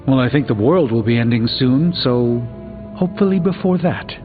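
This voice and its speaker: Guy playing a careful bookish wizard man in a video game, measured n formal, muffled with big reverb, music behind. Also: mid-range voice, lively intonation, natural voice. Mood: measured, uneasy. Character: cautious, scholarly adult male sorcerer, uneasy yet dry-witted. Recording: muffled narrow-band sound with long reverb.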